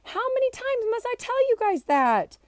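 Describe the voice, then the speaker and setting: A woman's voice: very high voice, big pitch swings, normal speed, dry recording, studio quality, pitched above the performer's own voice. Woman reading as a bossy, confident little schoolgirl. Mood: impatient. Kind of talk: children's storybook character reading.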